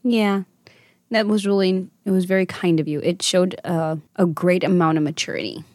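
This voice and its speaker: A woman's voice: fairly low pitch, steady tone, normal speed, darkish tone, no room sound, studio quality. A woman in her thirties hosting a casual chat with her daughter, affectionate and motherly, laughing often. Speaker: podcast host and mother.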